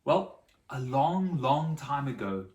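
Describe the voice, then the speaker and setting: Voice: mid-range voice, sing-song, a little mellow. Echoey, distant children's Bible storyteller. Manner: dramatic pauses and repetition for emphasis. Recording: echoey room, distant microphone.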